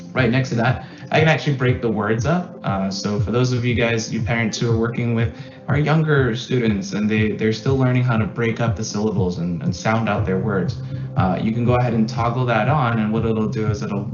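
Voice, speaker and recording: Male school tech presenter, low-ish voice, casual filler-heavy demo delivery, roomy muffled recording with music underneath. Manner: relaxed, fills with "uh" and "um", repeats words for emphasis. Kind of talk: screen-share software demo.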